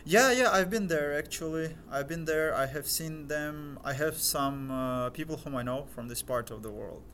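A young man whose first language is Crimean Tatar, a guest talking casually in an interview in English and pausing often to search for words. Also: fairly high pitch, fairly bright tone, a little hiss or hum. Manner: hesitant, filler-heavy, searching for words.

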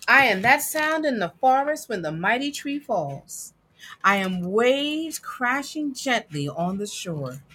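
A woman reading a picture book aloud with emphatic, dramatic phrasing and deliberate pauses. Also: fairly high pitch, big pitch swings, pushed and bright, a little hiss or hum. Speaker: guest reader and producer.

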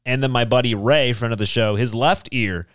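A man hosting a casual chat show, telling personal stories in an animated, self-mocking way. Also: mid-range voice, moderate pace, no room sound, studio quality. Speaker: podcast host.

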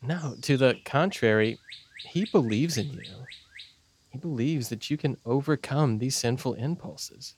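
An actor playing an earnest religious mentor at a camp, coaxing gently and preaching reassurance in a naturalistic audio drama. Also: male voice, medium pitch, measured pace, husky.

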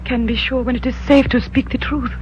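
An actress playing an earnest young female ruler of an eastern kingdom, speaking softly and formally in 1950s radio drama style. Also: fairly high pitch, flat delivery, fairly quick, lightly acted. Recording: dull old radio broadcast with hum.

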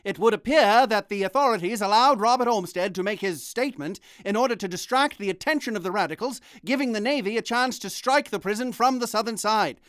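Vintage radio drama anchorman: high-pitched, crisp, grave and formal, close dry studio. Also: fairly bright tone, no echo. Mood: urgent, grave. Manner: grave, formal, deliberate announcing. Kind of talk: vintage radio newscast acting.

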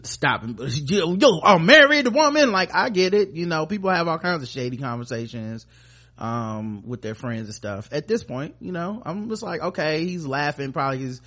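A man's voice: fairly high pitch, lively intonation, normal speed, pushed and bright. A man hosting a podcast with casual commentary, talking in a rambling, informal way full of slang and swearing. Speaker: podcast host.

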